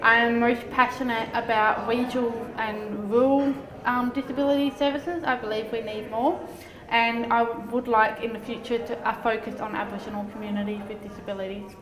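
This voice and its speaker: A woman in her twenties with an Australian accent and a fairly high voice, speaking earnestly and deliberately. The space is echoey, with a crowd chattering loudly behind her. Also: fairly even intonation. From Adelaide, Australia. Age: 20s. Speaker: social justice advocate.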